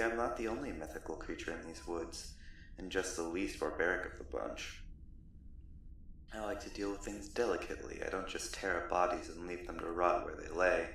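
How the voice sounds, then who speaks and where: Courtly vampire captor, male performer, ASMR roleplay: low, gravelly, condescending, politely menacing. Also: far from the mic. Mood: courteous, gloomy. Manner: genteel, condescending, softly threatening. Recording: roomy echo, off the mic, faint noise.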